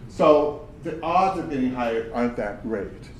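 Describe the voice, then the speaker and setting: A man's voice: medium pitch, animated, measured pace. A man running a job search workshop, talking to the group casually with examples from his own past, in a big voice. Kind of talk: workshop talk to job seekers. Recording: roomy audio from far off with faint hum.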